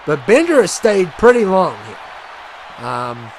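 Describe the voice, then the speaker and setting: A man casually commentating a wrestling video game match, rambling and chatty with many fillers, and he says he has a loud voice. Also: high voice, big pitch swings, takes it slowly, a faint crowd, a little hiss or hum, low-quality recording. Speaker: gaming commentator.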